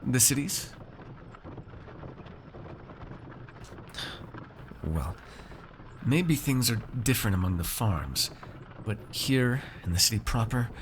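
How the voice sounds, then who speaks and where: A man playing a haughty, shrewd noble master in a fantasy ASMR roleplay, speaking in measured, formal, condescending phrases to a servant. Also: medium pitch, big pitch swings, slight background noise. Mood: condescending, weary.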